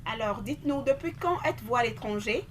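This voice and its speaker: A woman's voice: fairly high pitch, expressive, a little fast, slight background noise. A woman with a Beninese accent hosting a formal broadcast interview. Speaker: interview host.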